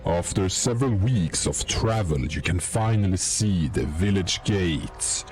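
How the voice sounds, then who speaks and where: A man reading scripted dark fantasy narration as a storyteller, in an ominous, measured manner. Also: low-pitched, expressive, slight background noise. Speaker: storyteller and game master.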